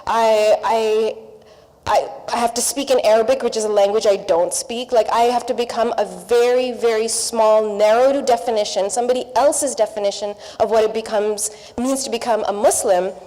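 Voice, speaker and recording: A woman's voice: on the high side, fairly even intonation, moderate pace, warm tone. A Pakistan-born woman, English not her first language, speaking earnestly at a seminar panel and listing her points in repeated phrases. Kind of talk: panel discussion remarks. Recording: reverberant hall.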